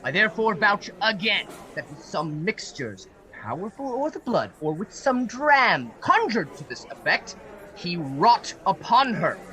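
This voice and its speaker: An actor playing an outraged, grieving elderly Venetian senator in a Shakespearean radio play, with emphatic, halting declamation. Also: mid-range voice, big pitch swings, bright, forceful tone, low-quality recording. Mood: accusing. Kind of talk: Shakespearean verse acting for radio.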